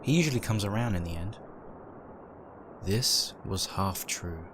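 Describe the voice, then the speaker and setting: Man acting brooding horror narrator, deep voice, uneasy, dramatic audio drama reading, clear background ambience noise. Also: animated, unhurried. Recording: noisy, with background ambience effects.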